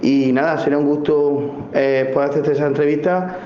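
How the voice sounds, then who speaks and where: A formal, courteous sports journalist acted by a man leaving a message. His voice is slightly high, in an echoey and noisy recording. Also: flat delivery, normal speed, mellow, lightly acted. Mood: polite, businesslike. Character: polite, formal male sports TV reporter. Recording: dull, roomy, noisy voice message.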